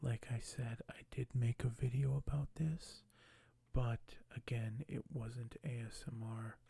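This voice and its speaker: A soft-spoken man hosting an ASMR unboxing, talking in a hushed, halting way that often nears a whisper. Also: medium pitch, fairly even intonation, vocal fry, breathy edge, no echo. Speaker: ASMR video host.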